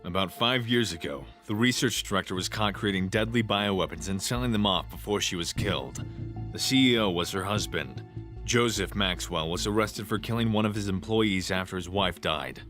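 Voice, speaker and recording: A man's voice: low-pitched, moderate pace, fairly bright tone, soft background music. A man playing a blunt, suspicious police inspector in an audio crime drama. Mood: firm, probing.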